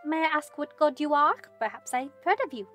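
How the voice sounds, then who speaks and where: Prim formal adventurer, female actor, high voice, fussy haughty delivery, fantasy audio drama. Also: brisk, a bit bright, soft background music. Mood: dry curiosity.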